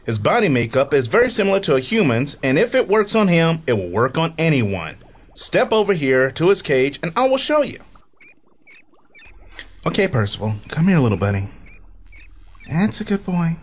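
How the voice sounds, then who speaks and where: A man's voice: a little high, sing-song, moderate pace. A man acting in a comedic radio drama as an eager, defensive amateur inventor who explains himself proudly. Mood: proud, reassuring.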